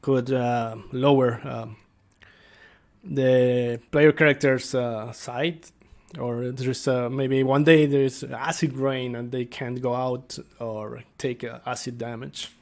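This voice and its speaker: Slow, halting man with a creaky voice. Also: mid-range voice, level tone, darkish tone. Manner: halting, searching for words. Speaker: tabletop game master and video host. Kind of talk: casual unscripted explainer vlog.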